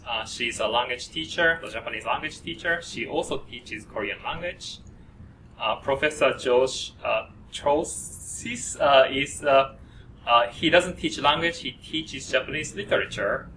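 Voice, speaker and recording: A man introducing faculty at a student orientation, speaking haltingly with many pauses and fillers. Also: mid-range voice, pushed and bright. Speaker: program coordinator at an orientation. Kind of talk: presenting to students. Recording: distant mic with faint noise.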